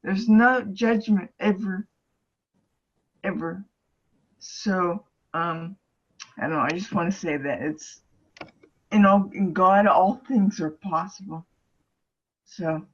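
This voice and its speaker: Slow, earnest woman on a call. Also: on the low side, breathy edge. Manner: halting, earnest, restarts often. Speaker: ministry facilitator. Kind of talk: encouraging group-call sharing. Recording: slightly dull lo-fi call audio.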